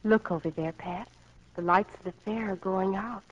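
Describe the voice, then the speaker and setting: A woman's voice: medium pitch, moderate pace, natural voice. An actress playing an earnest young country woman, shyly in love, in 1940s radio drama style. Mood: wistful. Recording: muffled 1940s radio broadcast, music behind.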